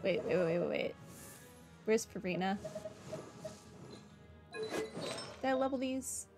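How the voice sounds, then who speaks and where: A woman's voice: mid-range voice, animated, slow. A woman gaming streamer giving casual playthrough commentary with wry, joking asides. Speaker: game streamer. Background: game music.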